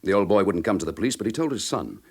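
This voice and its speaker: A very animated, low-pitched police inspector in a radio crime drama. He is gruff, intense and impatient, driving his lines with clipped determination. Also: racing, exaggerated voice. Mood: grim, intense.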